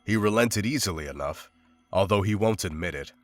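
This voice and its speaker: A man's voice: sits low, lively intonation, fairly quick, faint music behind. A man playing a stoic, scholarly monster hunter in a visual novel, speaking in a formal, composed, literary way. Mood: composed, reflective. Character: stoic, scholarly male monster hunter, calm and matter-of-fact. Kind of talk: scripted visual novel drama and journal narration.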